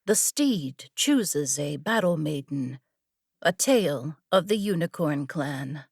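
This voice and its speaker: A woman narrating scripted fiction with a measured, deliberate storytelling delivery. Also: low voice, lively intonation, darkish tone, breathy edge, no echo, studio quality. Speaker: audiobook narrator.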